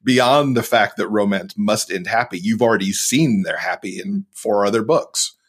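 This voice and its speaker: A man's voice: on the low side, normal speed. A man who co-hosts a podcast, talking casually as an interview guest. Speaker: podcast co-host appearing as guest.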